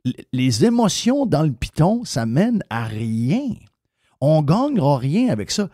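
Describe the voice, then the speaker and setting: A man's voice: on the high side, very animated, measured pace, dark tone, dry recording, clean studio sound. A man with a Québécois French accent hosting a radio talk show, ranting bluntly and swearing freely. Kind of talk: opinionated radio rant.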